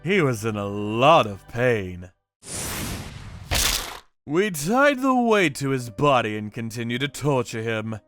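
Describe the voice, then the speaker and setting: A man's voice: medium pitch, sing-song, slow, long pauses, music in the background. A man narrating a manga story in the first person with a theatrical, cocky delivery.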